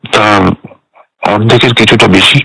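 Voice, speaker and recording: A man's voice: fairly low pitch, little pitch movement, normal speed, fairly bright tone, slight background noise, lightly acted, rough recording. An actor playing a guarded, polite male secretary in a radio drama, answering carefully and defensively.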